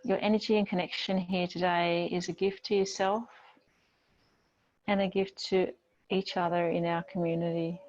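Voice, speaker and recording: A woman yoga teacher giving gentle, unhurried guided relaxation and movement instructions in a soothing manner. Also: lowish voice, level tone, muffled recording.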